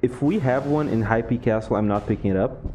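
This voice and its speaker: A young man from Brazil, a Portuguese speaker with a Brazilian accent, chatting casually over gameplay, relaxed and laughing often. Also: mid-range voice, fast talker, a little hiss or hum. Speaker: gaming YouTuber.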